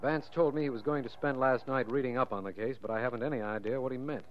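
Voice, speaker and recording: Actor as a sober official, muffled recording. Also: male voice, mid-range voice, fairly even intonation, close to an everyday voice. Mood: grave. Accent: American. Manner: formal, measured. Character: sober, official male district attorney. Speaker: narrator. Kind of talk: 1940s radio scripted narration. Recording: muffled, noisy 1940s radio recording.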